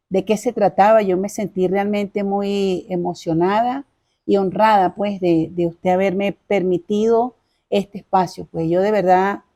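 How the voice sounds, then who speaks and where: Middle aged venezuelan lady, caracas accent, mellow voice, chatty and polite, telling her life story in an interview. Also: medium pitch. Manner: chatty, courteous, repeats phrases. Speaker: interview guest.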